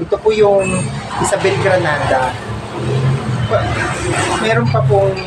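Filipino male vlogger, middle-aged, slightly high pitch, halting polite delivery, heavy background noise. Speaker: vlogger showing a personal collection. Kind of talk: informal show-and-tell to camera. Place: small cluttered room at home. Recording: very noisy home phone recording.

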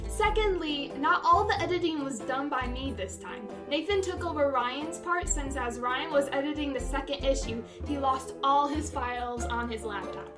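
High-voiced young woman, recorded from a distance. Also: normal speed, hardly any pauses. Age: young adult. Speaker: channel host and dub director. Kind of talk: scripted announcement to camera. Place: bedroom, indoors. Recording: distant camera pickup under music. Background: background music.

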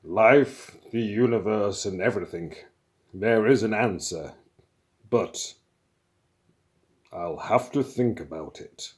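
Ponderously slow, vast, pompous supercomputer. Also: male voice, lowish voice. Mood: solemn, considering. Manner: ponderous, pompous, with weighty pauses. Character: vast ancient supercomputer, slow, grave and self-important.

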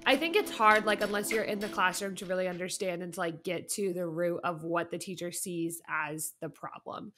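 A woman's voice: on the low side, steady tone, normal speed, hardly any pauses, bright, forceful tone, faint music behind. A woman chatting informally as a panelist, peppering her speech with conversational fillers. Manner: informal, peppered with "like" and "you know". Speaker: podcast panelist, teacher. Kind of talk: casual chat.

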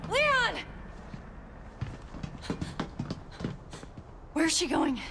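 A woman's voice: very high voice, big pitch swings, a little slow, gravelly, noisy background, exaggerated voice. An actress playing an earnest, spirited young woman who has been rescued, in a cinematic video game. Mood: bewildered, urgent. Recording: music and boat engine behind.

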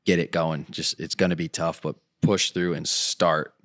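A man in his thirties hosting a podcast, talking earnestly in a casual pep-talk style with restarts, in a studio at a boom mic. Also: level tone, normal speed, a bit bright, no echo. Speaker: podcast host. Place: dim studio set with couches. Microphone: boom mic on stand, headphones.

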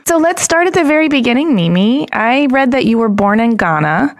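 A woman's voice: a little high, lively intonation, brisk. A woman co-hosting a design podcast, introducing the guest and asking questions in an upbeat, friendly way. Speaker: podcast co-host. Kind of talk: casual interview hosting.

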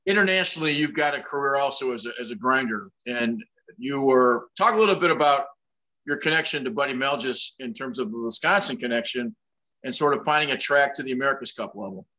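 A man's voice: medium pitch, expressive, a little fast, pushed and bright. A man in his sixties hosting an interview over a webcam, asking long, winding, chatty questions. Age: 60s. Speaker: show host. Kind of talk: casual interview. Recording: dull lo-fi sound.

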